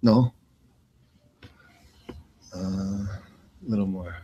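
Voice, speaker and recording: A man's voice: deep voice, steady tone, very slow, dark tone, breathy edge, highs cut off. Slangy man doing casual fight commentary. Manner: informal, slangy, calls people bro and man. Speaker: commentator, former pro fighter.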